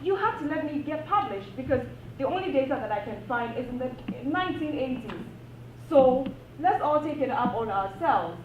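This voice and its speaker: A woman's voice: on the high side, slight background noise. Impassioned woman, distant and echoey. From Ghana. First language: not English. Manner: earnest, impassioned, repeats phrases for emphasis. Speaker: panel speaker, PhD academic. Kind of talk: panel discussion remarks. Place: university event room. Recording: echoey room, distant mic, dull sound.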